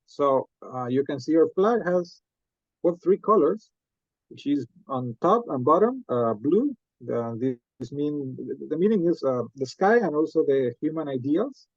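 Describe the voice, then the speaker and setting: A man's voice: a little high, animated, unhurried, no room sound. A young man from Rica, giving a hesitant slide presentation to an audience with many fillers. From Costa Rica. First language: Spanish. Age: young adult. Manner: hesitant, frequent uh and um fillers, asks for next slide. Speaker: student presenter. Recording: slightly muffled, lo-fi.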